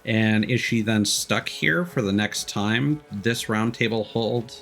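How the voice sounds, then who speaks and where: A man streaming a video game, thinking out loud in casual commentary and sometimes reading game text, with game music behind. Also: on the low side, takes it slowly, creaky. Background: faint game music.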